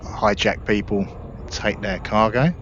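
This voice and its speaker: A man's voice: lowish voice, takes it slowly, a bit bright, lo-fi sound, slight background noise. A man hosting a gameplay video, explaining things informally in casual commentary. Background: spaceship game sounds.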